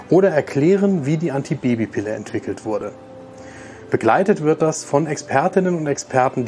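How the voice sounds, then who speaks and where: A man's voice: medium pitch, darkish tone. A man giving a scripted, formal promotional presentation as a doctor, addressing the listeners directly.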